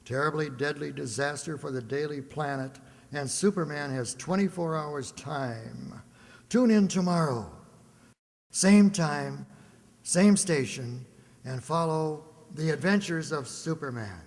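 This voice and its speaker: A man's voice: on the high side, measured pace, darkish tone. Dramatic male radio serial announcer. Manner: dramatic, declamatory. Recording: echoey hall, clear background noise.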